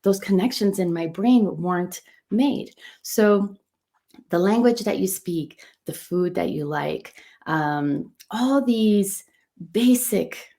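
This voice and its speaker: A woman's voice: mid-range voice, takes it slowly, mellow. A middle-aged woman whose first language is Portuguese, teaching an enthusiastic motivational lesson as a coach, stressing points by repeating words. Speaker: coach and run club business owner.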